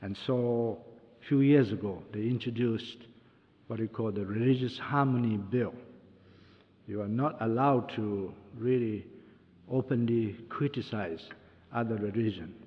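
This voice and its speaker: Older male ministry worker, Japanese first language, slow and deliberate, addressing an audience; lo-fi hall recording. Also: fairly low pitch. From Taiwan. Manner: slow, deliberate, lists things one by one. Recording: dull lo-fi recording with long hall reverb.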